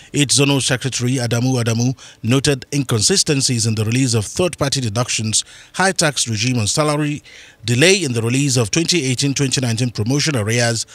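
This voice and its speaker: A man's voice: mid-range voice, a bit bright, dry recording. A man with a Nigerian accent reading scripted radio news as a presenter.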